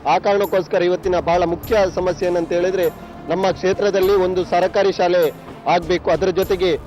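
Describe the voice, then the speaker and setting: Guy w coastal karnataka accent, high voice, formal but hesitant vox pop, faint music. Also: fairly even intonation, moderate pace, a little mellow, poor audio. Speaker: local resident giving opinion. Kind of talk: earnest vox pop statement.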